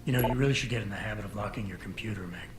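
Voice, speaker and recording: A man's voice: on the low side, rapid-fire, heavy background noise, close to an everyday voice. An actor playing a wry, guarded man in a video game cutscene, with a dry, terse delivery. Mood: wry, teasing. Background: game score.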